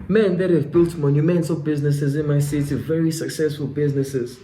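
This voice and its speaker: A young man in his twenties delivers a preachy motivational monologue, circling back to the same phrases again and again. His voice sits a little high and has a mellow, soft-edged tone. The room around him rings with echo. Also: fairly even intonation, a little fast, a little hiss or hum. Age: 20s. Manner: preachy, repetitive, addresses himself and listener as "my guy". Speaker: self-development vlogger.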